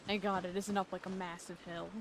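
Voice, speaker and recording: A man's voice: high-pitched, level tone, a little fast, background noise. A man giving casual, off-the-cuff commentary as he plays a video game. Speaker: gamer, let's play host. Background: game music.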